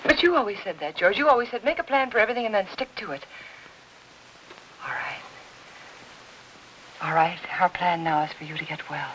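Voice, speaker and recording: An actress plays a devoted, anxious American housewife from a 1950s noir picture. She speaks in a gentle, earnest, pleading way at a middle pitch, over the light hiss of an old film soundtrack. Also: animated, fairly quick, long pauses, lightly acted, rough recording. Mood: gently encouraging. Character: anxious, devoted housewife tending her sick husband.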